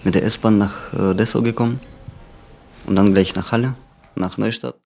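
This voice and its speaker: A man's voice: on the low side, steady tone, moderate pace, gravelly, a little mellow. A man, speaking hesitantly and reflectively in a documentary interview. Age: 20s. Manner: hesitant, many fillers and restarts, reflective.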